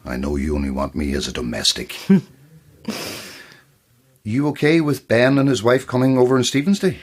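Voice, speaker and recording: An actor in an audio drama playing a middle-aged, affectionate partner with a playful, bantering manner. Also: male voice, medium pitch, sing-song, moderate pace, breathy edge. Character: teasing, affectionate middle-aged husband type.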